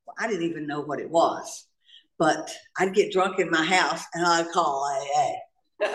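A woman's voice: fairly low pitch, fairly bright tone, distant mic. A woman from North Carolina, telling her own recovery story with humor to a meeting. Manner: humorous storytelling, laughs often. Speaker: AA meeting speaker. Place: church meeting hall. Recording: echoey hall, speaker off-mic.

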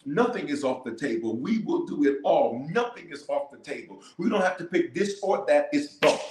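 A man's voice: on the high side, animated, moderate pace, a little mellow, reverberant, a little hiss or hum. A man, a bishop, leading a livestreamed prayer with fervent, repetitive declarations and calls to the viewers. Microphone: cameras in front of him.

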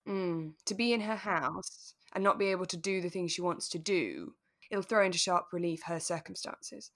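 A woman's voice: lowish voice, lively intonation, normal speed, a bit bright. A woman co-hosting a podcast, casually talking through a radio drama in a reflective, explanatory way. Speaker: podcast co-host.

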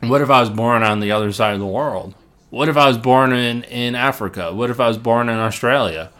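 A man's voice: mid-range voice, brisk, fairly bright tone. Solo podcast host, middle-aged man from Illinois, rambling, self-interrupting, laughs between phrases. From Illinois, United States. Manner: rambling, many restarts and repeated words, thinking aloud. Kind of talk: casual solo podcast monologue.

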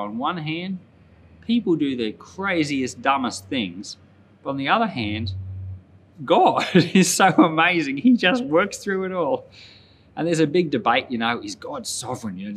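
A man's voice: medium pitch, fairly bright tone. A male pastor with a mid-range voice shares Bible reflections in an informal, conversational way. He leans on key points by repeating phrases for emphasis. There is some background noise in the recording. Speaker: pastor and Bible podcast host. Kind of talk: informal Bible commentary and prayer.

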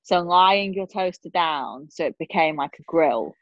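A woman's voice: sits low, expressive, measured pace, no echo. A woman in her thirties with a Northern English accent, co-hosting a casual chat with a friend and teasing her with frequent laughs. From Northern England. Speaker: podcast co-host. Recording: dull, dry lo-fi sound.

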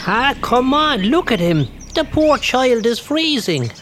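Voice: sits high, big pitch swings, slow pace, fairly bright tone, a little hiss or hum, heavily acted. An actor playing a kindly, colloquial young man with an Irish accent, a soothing attendant in an audio drama. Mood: kindly, concerned.

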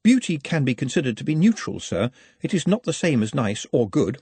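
A man's voice: mid-range voice, very animated, fairly quick, darkish tone, slightly rough, dry recording, voice pushed up, full character voice. A man voicing a meek, learned little male creature with a small, earnest, formal voice, in an audiobook. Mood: earnest, humble. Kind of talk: audiobook character acting.

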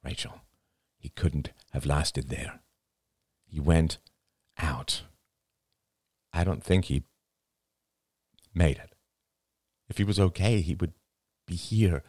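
A man voicing a grieving elderly father in an audiobook, gentle and halting, close to tears. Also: low voice, no room sound, voice pushed up. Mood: tearful, pleading. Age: older. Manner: halting, sighing, breaking into sad laughter. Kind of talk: dramatic audiobook dialogue.

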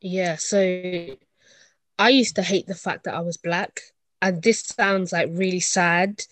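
A woman's voice: lowish voice, fairly even intonation, slow pace, fairly bright tone, no echo. A woman in her twenties, born in Uganda and raised in England, talking through her upbringing in a reflective, chatty way with frequent ums. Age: 20s. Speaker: interview guest. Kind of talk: casual conversational interview.

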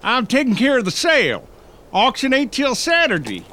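An actor playing a gruff, suspicious old rural man of the 1890s in a period drama, with a folksy drawl. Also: very high-pitched, wildly swooping pitch, normal speed, bright, forceful tone, exaggerated voice. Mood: folksy, nosy. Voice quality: mouth full of chewing tobacco. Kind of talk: period film drama acting.

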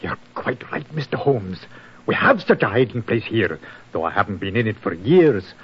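An actor playing a proud, troubled laird in a 1940s radio drama, emotional and dramatic, on an old broadcast recording. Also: male voice, on the low side, big pitch swings, moderate pace, slightly rough, dull audio, heavily acted. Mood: subdued, explaining. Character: proud, troubled Scottish laird, father, middle-aged or older.

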